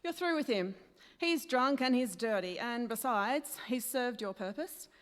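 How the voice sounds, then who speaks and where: A woman's voice: on the high side, lively intonation, a little slow. A woman playing a plucky, forthright newspaper worker in a radio play, earnest and outspoken. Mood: indignant. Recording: echoey hall stage recording.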